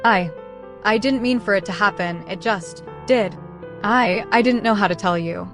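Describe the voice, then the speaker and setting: A frightened pregnant teenage girl, played by a woman, tells and lives her roleplay drama. She stammers with emotion yet keeps some resolve. The voice rings in a heavy echo over soft music. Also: medium pitch, moderate pace, close to an everyday voice. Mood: anxious. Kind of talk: dramatic first-person roleplay story acting and narration. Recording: echoey, long reverb, music underneath.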